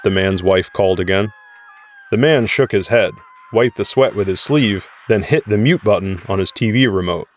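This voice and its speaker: A man's voice: fairly low pitch, moderate pace. A man narrating a scripted audio drama in the role of storyteller.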